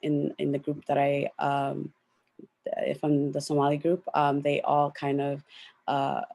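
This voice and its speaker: A woman's voice: deep voice, monotone, measured pace, gravelly, dark tone. A young woman speaking thoughtfully on a discussion panel, with plenty of fillers. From United States.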